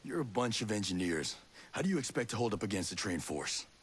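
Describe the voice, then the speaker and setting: An actor playing a gruff, wry soldier in a video game, speaking in a dry, blunt, husky voice. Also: male voice, on the low side, sing-song, fairly quick. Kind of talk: video game cutscene acting.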